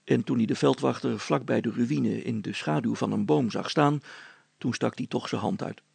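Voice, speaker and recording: Man, storyteller, husky and creaky, expressive children's story narration, dry recording. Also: medium pitch, normal speed, no echo.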